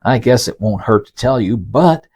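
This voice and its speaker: A man's voice: medium pitch, lively intonation, moderate pace, darkish tone, slightly rough. A man hosting a podcast, telling scripted stories in a conversational, folksy way with teasing asides to the listener. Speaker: podcast host and narrator. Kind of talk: conversational scripted storytelling, reading quotes.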